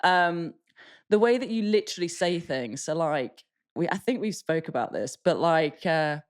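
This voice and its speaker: A woman's voice: on the low side, expressive, normal speed. An English woman co-hosting a podcast, chatting casually in a blunt, self-mocking way and swearing freely. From England. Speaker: podcast co-host. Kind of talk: casual chat.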